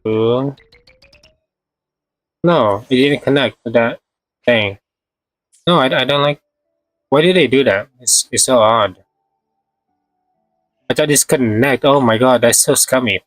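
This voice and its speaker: A man's voice: mid-range voice, takes it slowly, long pauses, a bit bright, dry recording, soft background music. A man chatting casually on a game livestream, thinking aloud in short, hesitant fragments. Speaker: game streamer. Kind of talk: casual livestream gameplay chat.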